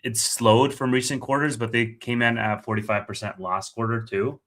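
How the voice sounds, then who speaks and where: A man's voice: medium pitch, flat delivery, moderate pace, a bit bright. A man hosting a casual live commentary, conversational and thinking aloud as he reads out figures. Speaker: live stream host.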